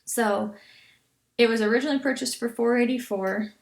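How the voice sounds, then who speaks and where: A woman's voice: a little high, fairly even intonation, normal speed, gravelly. A woman instructor giving an explanatory tutorial, reading and thinking aloud with some self-correction. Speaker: accounting instructor. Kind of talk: explanatory tutorial, reading then working through a problem.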